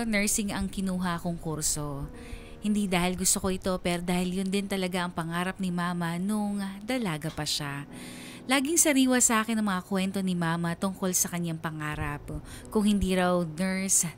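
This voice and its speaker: An actress playing an earnest Filipina daughter, narrating her life story in a sentimental, measured radio-drama style. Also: lowish voice, natural voice. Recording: music behind the voice.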